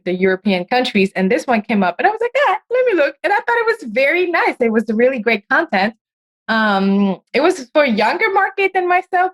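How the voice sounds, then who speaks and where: Animated, high-voiced woman podcast host. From United States. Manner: animated, laughs often, jumps up in pitch. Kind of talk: casual interview chat.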